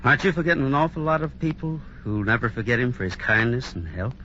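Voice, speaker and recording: Man acting earnest, forceful radio Western hero. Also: medium pitch, fast talker, close to an everyday voice. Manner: sincere, reassuring. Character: earnest, kindly, steady Western hero and storyteller. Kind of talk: 1950s radio drama acting and narration. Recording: muffled old radio recording, faint noise.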